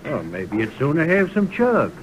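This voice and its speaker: An actor playing a hearty saloon bartender with a Western accent in an old radio western. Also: male voice, normal speed. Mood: amused, generous. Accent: American Western. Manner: hearty, animated. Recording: old radio recording, rumble and hiss.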